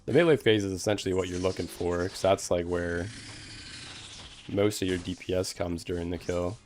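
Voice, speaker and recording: A man's voice: deep voice, fairly even intonation, moderate pace, a little mellow, slight background noise. A young man streaming a game, giving easygoing commentary full of "like" fillers and answering chat questions. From Canada. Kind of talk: casual gameplay commentary.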